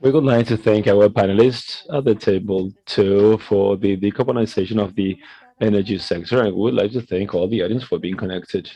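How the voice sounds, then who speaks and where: Male moderator, low gravelly and dark, formal wrap-up announcements, dry close sound. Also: steady tone, normal speed, no echo, highs cut off. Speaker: event moderator.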